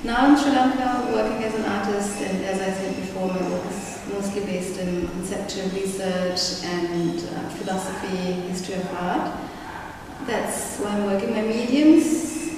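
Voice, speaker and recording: A young woman, an artist talking reflectively in an interview, often pausing and restarting as she searches for words. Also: mid-range voice. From Germany. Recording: echoey room, mic far off, background noise.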